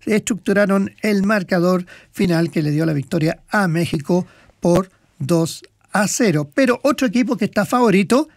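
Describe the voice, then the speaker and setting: A man's voice: high voice, moderate pace, vocal fry, dry recording, clean studio sound. A man co-hosting a casual sports talk segment, rambling through match results with many fillers and hesitations. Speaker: sports commentator co-host. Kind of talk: casual radio sports chat.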